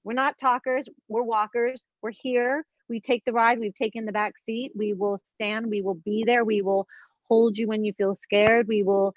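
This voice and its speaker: A woman's voice: fairly high pitch, little pitch movement, normal speed, no room sound. A woman of fifty in a casual spiritual conversation as a guest, earnest and searching, circling back over her words as she thinks aloud. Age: 50s. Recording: dull lo-fi dry recording.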